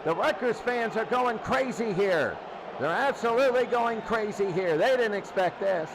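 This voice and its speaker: A man doing excitable play-by-play football commentary in short, repeated phrases. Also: sits high, normal speed, noisy background. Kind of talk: American football radio-style commentary.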